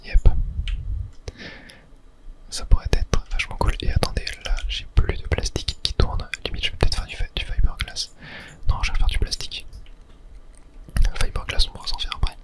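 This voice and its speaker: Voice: moderate pace, pauses often, fairly bright tone. A host whispers casual gaming commentary for ASMR, thinking aloud in a murmur with little filler words. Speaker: host of a gaming video series.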